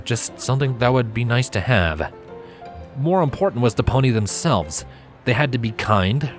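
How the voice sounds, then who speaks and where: A man narrating a scripted story with expressive, dramatic delivery. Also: mid-range voice. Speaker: fan fiction narrator.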